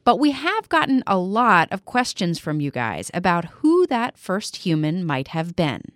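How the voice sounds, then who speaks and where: A woman's voice: on the low side, very animated, unhurried, no room sound, clean studio sound. A woman hosting a podcast for children, narrating in an upbeat, playful way. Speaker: podcast host.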